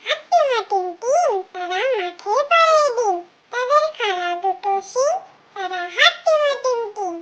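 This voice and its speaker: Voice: very high-pitched, lively intonation, unhurried, fairly bright tone, full character voice. A performer voicing a small cartoon child who chants nursery rhymes in a simple, repetitive sing-song.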